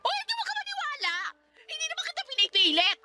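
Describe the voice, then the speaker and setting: A woman playing a defiant, sharp-tongued estranged wife and mother in a radio drama, sarcastic and combative. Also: extremely high pitch, sing-song, quick pace, music in the background. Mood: irritated, dismissive. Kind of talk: Tagalog radio drama acting.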